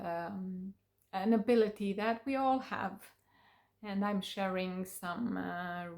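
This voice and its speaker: A woman with Romanian as her first language talks to her viewers in English. Her voice sits at an ordinary mid pitch. She takes her time and often pauses on fillers as she searches for words. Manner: unhurried, with many fillers and pauses. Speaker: vlogger. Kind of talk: casual talk to camera.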